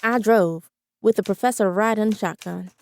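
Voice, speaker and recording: A woman's voice: mid-range voice, normal speed, gravelly, darkish tone, close to an everyday voice. An actress playing a firm, defiant woman who leads a wartime team in a pulp adventure drama. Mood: composed. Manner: firm, confident. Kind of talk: pulp adventure drama acting, some narration.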